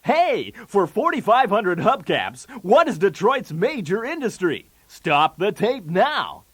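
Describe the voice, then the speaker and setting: A man's voice: high voice, very animated, slow pace, fairly bright tone, breathy edge, exaggerated voice. A man playing a brash, wisecracking 1980s TV game show host with showy, punchy patter. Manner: showy, jokey, with punchy delivery of gags.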